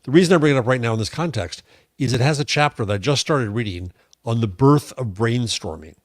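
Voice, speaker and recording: An older man with a mid-pitched voice gives casual opinions as a podcast co-host. He is wry and halting, restarting as he thinks aloud. The recording is dry and free of room sound. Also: lively intonation, dry recording.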